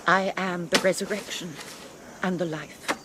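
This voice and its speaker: A woman's voice: lowish voice, fairly even intonation, measured pace, natural voice. An actress playing a prim, proper missionary woman, speaking formally and politely, sometimes flustered. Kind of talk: radio drama acting. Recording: noisy recording with audible hiss.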